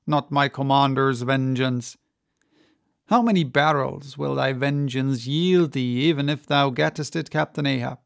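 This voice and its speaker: A man's voice: medium pitch, gravelly, dry recording, studio quality. A man voicing a sober, cautious first mate in an audiobook, earnest and morally alarmed. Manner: earnest, restrained, grave.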